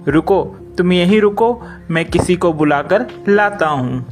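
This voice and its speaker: A man's voice: high voice, unhurried, faint music behind, pitched above the performer's own voice. A man voicing a bossy but helpful male monkey who scolds in an animal storybook tale. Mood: reassuring. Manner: scolding, exasperated.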